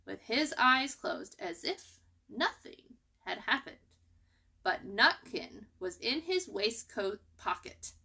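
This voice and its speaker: A woman storyteller reading a children's book aloud expressively, with animated delivery and dramatic emphasis. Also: a little high.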